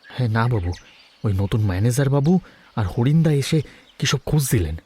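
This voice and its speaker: An actor playing an anxious, humble household servant in a Bengali audio story, speaking in a subdued, deferential way. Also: male voice, mid-range voice, lively intonation, fairly quick, a little mellow, slightly rough, no room sound. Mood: anxious, dejected.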